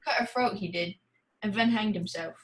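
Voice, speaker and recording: A woman's voice: medium pitch, moderate pace, fairly bright tone, a little hiss or hum, lightly acted. A woman playing a blunt, gossipy country innkeeper in a radio play, matter-of-fact and folksy.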